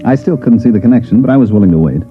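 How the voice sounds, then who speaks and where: A man's voice: mid-range voice, lively intonation, very fast, close to an everyday voice. An American actor playing a dry, wisecracking special agent who narrates his case in a 1950s radio science fiction drama. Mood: wry narrating. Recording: dull vintage radio recording, music behind.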